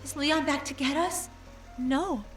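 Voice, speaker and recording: A woman earnestly narrating her own story in the first person, with soft music behind her. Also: sits high, unhurried. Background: soft background music.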